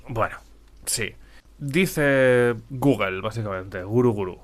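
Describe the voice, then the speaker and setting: A man's voice: medium pitch, slow. A man from Spain with a slightly stuffy, congested nose, chatting casually as a podcast co-host. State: mild head cold. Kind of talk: casual conversational podcast chat.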